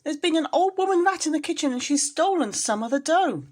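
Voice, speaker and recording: A small, frightened storybook kitten, acted by a woman reader. The voice is pushed high and swoops in pitch, pleading in a panic. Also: expressive, brisk, a little mellow. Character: frightened little kitten calling for mother. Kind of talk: children's storybook character reading.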